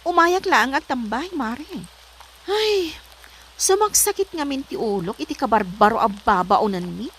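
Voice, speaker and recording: A woman playing a high-voiced, strict lady boss. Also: lively intonation, unhurried, fairly bright tone, a little hiss or hum, rough recording. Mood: complaining. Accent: Ilocano. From Philippines. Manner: scolding, demanding. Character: strict, suspicious, bossy lady of the house. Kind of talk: comedy drama acting.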